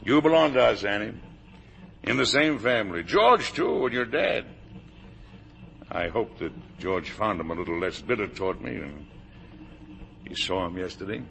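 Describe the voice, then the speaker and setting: An actor playing a blustery, proud older factory boss and father in a 1950s radio drama, with a gravelly voice. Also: lowish voice, animated, normal speed, long pauses, a bit bright. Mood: hearty, persuasive. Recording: noisy old radio broadcast recording.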